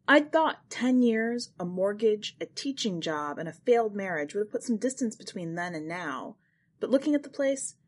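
A woman narrating literary short fiction from a script, with expressive, dramatic phrasing. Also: mid-range voice. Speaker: podcast host and fiction narrator.

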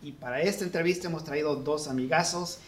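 A man's voice: fairly high pitch, fairly quick. Encouraging male interview host. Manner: warm, encouraging, frequent affirmations. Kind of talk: casual interview.

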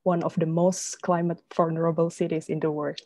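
A woman from Indonesia, a researcher answering interview questions in a measured way with many filler pauses. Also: deep voice, flat delivery, a little mellow, dry recording. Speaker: guest researcher.

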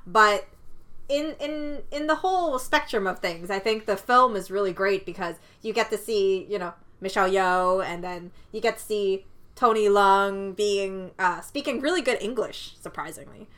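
A woman's voice: mid-range voice, normal speed, a bit bright. A woman whose first language is Cantonese, chatting casually about a film, often laughing and restarting her sentences. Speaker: film review co-host. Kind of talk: casual chat.

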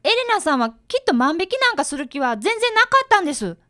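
Radio drama boy detective, about ten, played by a woman, earnest and precise, lively pitch, dry studio sound. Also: fast, no room sound, full character voice. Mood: eager, polite. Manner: polite, precise, boyish. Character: earnest, bright fifth-grade boy, apprentice detective. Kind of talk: radio drama dialogue and first-person narration.

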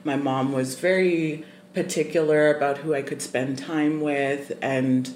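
A young adult guest from Zimbabwe, telling a personal story in a thoughtful, measured way with many pauses and ums. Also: mid-range voice, steady tone, a little mellow. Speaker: podcast guest. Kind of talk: casual interview storytelling. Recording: roomy echoey room, faint noise.

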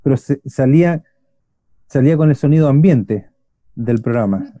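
A middle-aged man with an Argentine accent hosts a casual radio chat. He has a very dark, mellow voice and keeps circling back with fillers and restarts. The recording is dry and close. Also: mid-range voice, animated, moderate pace, no echo. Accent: Argentine Spanish. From Argentina, Buenos Aires. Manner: rambling, many restarts and fillers. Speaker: radio show host.

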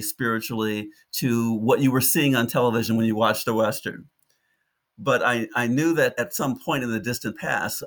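A man in his sixties from Detroit, a park ranger speaking as a podcast guest, telling his stories in a vivid, lyrical way with frequent restarts. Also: mid-range voice. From Detroit, United States. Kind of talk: reflective interview storytelling.

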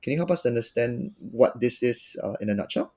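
A man's voice: medium pitch, expressive, brisk, darkish tone. A man hosting a podcast, reading an upbeat intro and then asking friendly questions. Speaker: podcast host.

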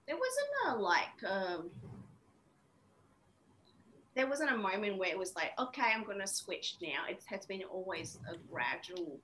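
A woman from Vietnam, Vietnamese her first language, speaking reflectively as an interview guest with many pauses and fillers. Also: medium pitch, sing-song, bright, forceful tone, slight background noise, poor audio. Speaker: interview guest, women's coach. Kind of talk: casual interview.

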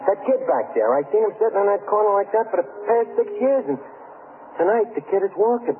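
An actor in a 1940s radio drama playing a cynical city tough guy with a soft heart, talking in slangy, ungrammatical speech. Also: high-pitched, expressive, quick pace, slight background noise. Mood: bewildered. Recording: muffled old radio broadcast, music behind.

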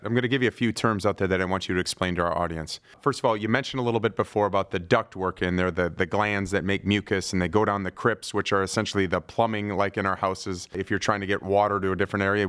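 A man's voice: low voice, fairly bright tone. A middle-aged man hosting a podcast, asking questions in a friendly, upbeat way. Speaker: podcast host. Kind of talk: podcast interview.

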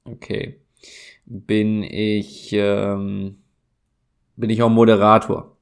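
A man speaking with a German accent, playing a guessing game in casual chat, slow and hesitant, thinking aloud with many fillers. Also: lowish voice, flat delivery, gravelly, clear, resonant tone.

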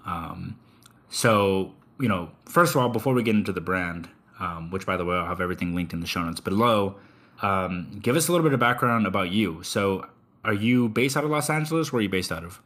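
A man's voice: low-pitched, animated, a little fast, a bit bright. A young man hosting a podcast in casual interview chat, laid-back, swearing freely and padding with many ums. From Texas. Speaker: podcast host.

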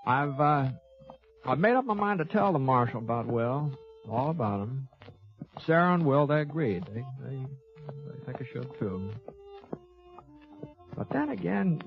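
An American actor playing a laconic, folksy gunfighter who narrates a 1950s radio western in a halting, drawling way. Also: male voice, a little high, huge pitch swings, creaky, soft background music, heavily acted. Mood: calm, thoughtful. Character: laconic, folksy, fair-minded Old West gunfighter narrating his tale. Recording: dull lo-fi vintage 1950s radio recording.

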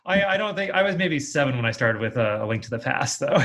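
A man's voice: mid-range voice, animated, moderate pace, a bit bright. A man hosting a casual interview, talking in an effusive, jokey way. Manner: effusive, jokey, laughs often. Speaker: podcast host, interviewer.

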